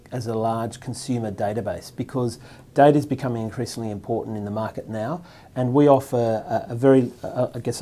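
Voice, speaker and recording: A man's voice: mid-range voice, dark tone. A middle-aged man leading a team, explaining a product for a corporate video with many fillers and restarts. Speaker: team leader introducing his staff.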